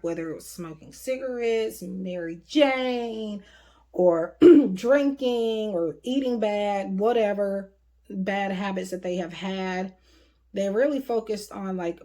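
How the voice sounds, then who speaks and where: A woman giving a casual, rambling tarot reading to her viewers, her voice going a bit hoarse. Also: mid-range voice, a little mellow. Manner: chatty, rambling, frequent fillers. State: voice getting hoarse. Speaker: tarot reader.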